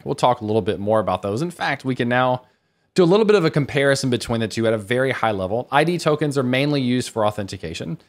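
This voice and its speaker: A man's voice: mid-range voice, expressive, fast. A man presenting a technical conference talk in a conversational, reassuring way, explaining things plainly and informally. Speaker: conference speaker, developer teaching web development.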